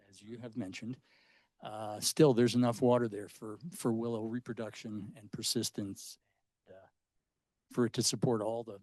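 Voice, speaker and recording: A man with a mid-pitched voice gives a panel answer as a researcher. He explains technical points in a matter-of-fact, halting way. The sound is dry, with little room echo. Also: dark tone. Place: indoor public panel discussion at a library.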